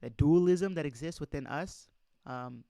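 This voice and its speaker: A man's voice: fairly high pitch, a little slow, gravelly, mellow, no echo, studio quality. A man reading aloud in an informal lecture style, speaking emphatically and putting questions straight to his listeners. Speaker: presenter reading a document aloud and commenting.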